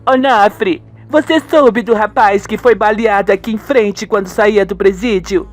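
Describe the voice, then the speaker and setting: A man's voice: high-pitched, a little slow, soft background music. A man voicing a wary, inquisitive young woman in a radio soap. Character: young woman questioning her ex-boyfriend.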